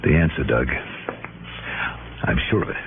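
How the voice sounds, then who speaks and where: An actor playing a shrewd, dogged insurance investigator in an old radio detective drama, probing and thinking aloud with emphasis. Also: male voice, extremely low pitch, animated, moderate pace, phone-quality sound, noisy background. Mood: confident, explaining. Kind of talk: 1950s-60s radio detective drama acting. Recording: band-limited old radio recording.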